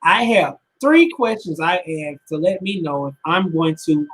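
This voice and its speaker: A woman's voice: low voice, animated, unhurried. A woman in her twenties, a podcast co-host, giving blunt, emphatic opinions in slangy casual chat. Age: 20s.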